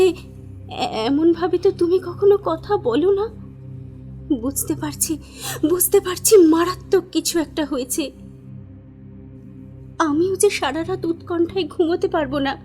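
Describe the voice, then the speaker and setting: A woman playing an affectionate, anxious young bride-to-be in a Bengali audio drama, speaking in a theatrical, expressive way. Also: extremely high pitch, slow, pauses often, soft background music. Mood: worried. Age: young adult. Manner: theatrical, expressive, hesitant repetitions when alarmed.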